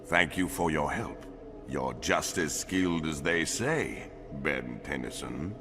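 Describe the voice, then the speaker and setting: An actor playing a courteous, solemn big alien lawman in a game cutscene, speaking in formal, measured phrases. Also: extremely low pitch, expressive, over music, heavily acted. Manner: formal, measured, deliberate wording. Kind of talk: animated game cutscene acting.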